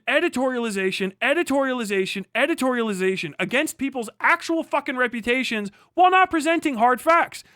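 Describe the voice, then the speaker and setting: A man with a high, bright voice delivers an off-the-cuff commentary rant. He is indignant and profane, hammering points home through repetition. The sound is close and dry, like a streamer's microphone. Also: no echo. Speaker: livestream commentator. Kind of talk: unscripted reaction rant.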